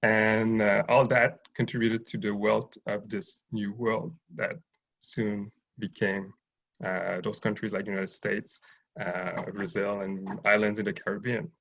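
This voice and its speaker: Man, gravelly fry, measured and hesitant, muffled call audio. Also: lowish voice, little pitch movement, fairly bright tone. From Haiti, raised in Montreal. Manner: thoughtful, hesitant with many fillers. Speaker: guest, urban farm owner. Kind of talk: interview over video call.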